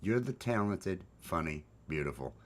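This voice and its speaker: A man with a baritone voice hosts a casual morning chat, rambling with restarts and fillers. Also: expressive, moderate pace. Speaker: host.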